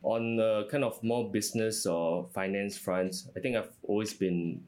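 Low-voiced man, measured. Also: steady tone, a little mellow. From Singapore. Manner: measured, with frequent fillers like "you know" and "uh". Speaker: company CFO, guest. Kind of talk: interview answers.

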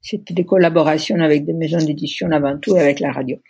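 A woman's voice: low voice, fairly even intonation, quick pace, gravelly, dark tone, breathy edge, no room sound, clean studio sound. A middle-aged woman from Italy, speaking with an Italian accent in a podcast interview, hesitant and often searching for words. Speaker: interview guest.

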